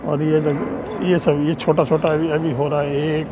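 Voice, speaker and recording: A man's voice: on the high side, level tone, unhurried, background noise. A man giving a chatty, casual tour of his rooftop terrace garden, filmed on a handheld phone outdoors. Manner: chatty, pointing things out. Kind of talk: casual walkthrough narration. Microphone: handheld phone camera.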